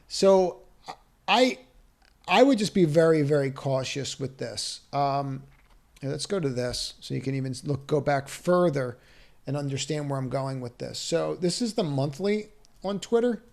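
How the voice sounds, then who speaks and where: A man's voice: a little high, vocal fry, darkish tone. A man walking through stock charts on a screen share, thinking aloud with cautious advice, restarts and rhetorical questions. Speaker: stock market analyst.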